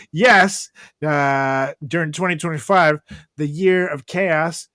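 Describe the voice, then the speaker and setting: A man's voice: on the high side, takes it slowly, fairly bright tone, no echo, clean studio sound. A man hosting a comedy podcast with casual, profane banter and theatrical announcer flourishes. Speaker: podcast host.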